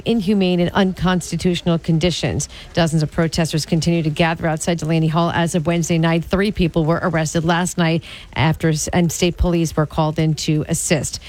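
A woman reads a radio news bulletin in a steady, measured delivery. Her voice sits a little low for a woman. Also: rough recording. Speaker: news anchor.

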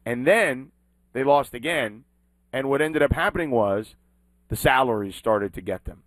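A man hosting a sports talk show, talking with emphatic, animated delivery. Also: medium pitch, normal speed, dry recording, low-quality recording. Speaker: sports talk radio host. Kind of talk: sports talk and live read ad.

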